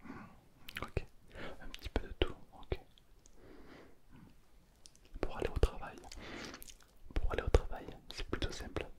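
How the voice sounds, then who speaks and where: A man whispers softly and unhurriedly into a close microphone. He plays a pretend language teacher in an ASMR roleplay. His tone stays gently playful and self-mocking. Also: unhurried, pauses often.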